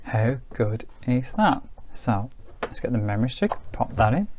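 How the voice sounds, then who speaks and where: A man's voice: mid-range voice, big pitch swings, measured pace. A man giving a casual hands-on gadget review, thinking aloud in an informal, hedging way. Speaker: product reviewer.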